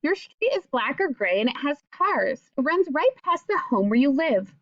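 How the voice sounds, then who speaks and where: Female primary-teacher read-aloud voice, highish and very lively in pitch, addressing kids directly; dry, slightly dull lo-fi recording. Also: normal speed, no room sound. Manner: addresses young listeners directly with simple questions. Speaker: teacher reading a picture book aloud. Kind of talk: children's read-aloud with questions and asides.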